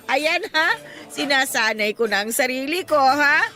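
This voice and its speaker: A woman playing a jolly, teasing, doting older mother in a radio drama, expressive and chatty, full of exclamations. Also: high voice, unhurried, faint music behind, exaggerated voice.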